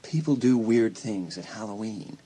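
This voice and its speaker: An actor playing a concerned, reassuring school counselor in an audio drama, speaking earnestly and soothingly with some hesitation. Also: male voice, fairly low pitch, expressive, normal speed, warm tone, slightly rough, poor audio.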